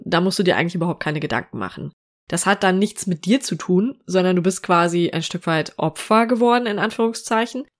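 A woman hosting her own podcast, talking to the listener in a relaxed, reassuring way while she has a cold and speaks a little through her nose. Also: mid-range voice. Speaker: podcast host. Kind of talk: solo podcast talk on social media marketing.

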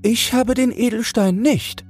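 Little young toad for a storybook: wistful, humble, breathy, raised pitch. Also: male voice, steady tone, measured pace, a little mellow, faint music behind, exaggerated voice. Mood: humble longing.

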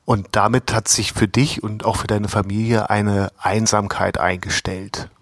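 Guy hosting a podcast, low voice, dry close sound, chatty question asker. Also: level tone, measured pace, no echo. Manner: conversational, asks guiding questions. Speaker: podcast host. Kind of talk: interview hosting.

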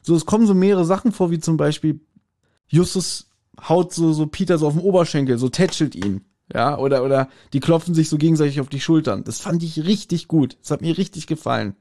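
A man's voice: fairly high pitch, a little fast, mellow. A man, chatting casually as a podcast co-host giving his review.

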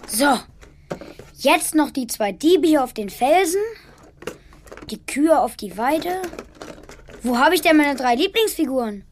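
A woman's voice: high voice, expressive, a little slow, long pauses, a little hiss or hum. A woman playing an eager, helpful young boy at play with his toys in a children's radio play. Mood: busy, content.

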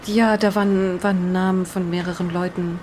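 Female role in an improvised horror audio drama, acted by a woman: lowish voice, earnest, inquisitive, slightly hesitant, lightly noisy recording. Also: flat delivery, normal speed, darkish tone, lightly acted. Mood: thoughtful, explaining. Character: earnest married woman, informed and curious.

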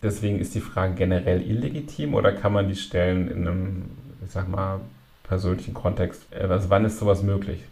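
Male host-interviewer; deep, gravelly voice; measured and thoughtful. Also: steady tone, a little mellow. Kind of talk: conversational interview.

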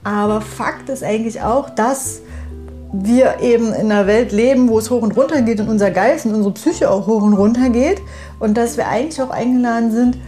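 A woman's voice: fairly high pitch, fairly quick, dark tone, soft background music. A woman in a casual, reflective chat with a friend. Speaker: conversation partner in a personal audio piece.

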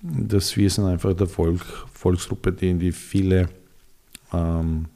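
A man's voice: sits low, steady tone, slow, creaky, mellow. A middle-aged man with a Serbian accent, Serbian his first language, telling his story in a casual interview with many hesitations and restarts.

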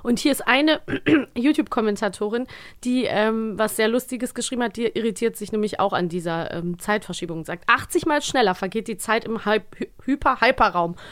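A woman's voice: fairly high pitch, brisk, a bit bright. A woman co-hosting a podcast in casual chat, giggly and enthusiastic. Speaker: podcast co-host.

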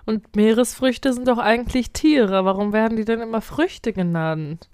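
A woman's voice: fairly high pitch, normal speed, darkish tone, clear, resonant tone, dry recording. A woman in her twenties from Germany chatting casually as a podcast co-host. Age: 20s. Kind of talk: casual chat.

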